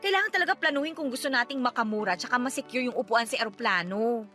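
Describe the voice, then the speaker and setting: A woman's voice: on the high side, expressive, fast talker, sharp tone, soft background music. A woman with a Filipino accent playing a talkative, stubborn wife in her thirties in a radio drama, chatty and nagging. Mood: annoyed, questioning.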